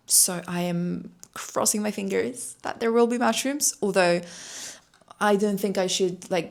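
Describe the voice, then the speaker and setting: A young woman who is a native Polish speaker, chatting casually and quickly in a vlog, with frequent light laughs. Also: medium pitch, a little mellow. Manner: fast-talking, laughs often, upbeat. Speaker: language-learning vlogger.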